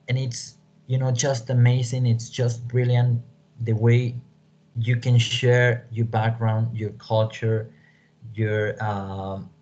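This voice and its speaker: A man with a Mexican accent, a native Spanish speaker, explaining to an online class as a lecturer in a halting way that repeats words and phrases. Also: medium pitch, darkish tone. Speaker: English teaching lecturer. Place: small room at home, indoors. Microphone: computer microphone on video call. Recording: dull lo-fi video call audio.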